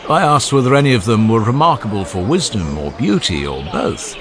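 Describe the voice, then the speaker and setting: A man narrating a classic literary dialogue as a dramatized audiobook, in a measured, expressive storytelling manner. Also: medium pitch, a little hiss or hum. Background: faint ambient background sound.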